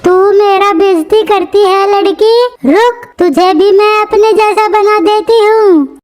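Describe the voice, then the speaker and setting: A mischievous cartoon witch cackles and threatens in a very high, squeaky voice, as a woman acts her out. She drags her words out slowly, with music playing behind her. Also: a little mellow. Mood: menacing. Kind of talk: cartoon voice acting.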